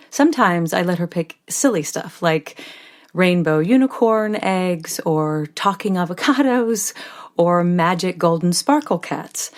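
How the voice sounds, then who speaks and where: Worn-out loving mom, lower voice, talks it over kinda wry and close. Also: female voice. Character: tired, loving young mother working from home. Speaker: actress performing a monologue. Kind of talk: scripted dramatic monologue.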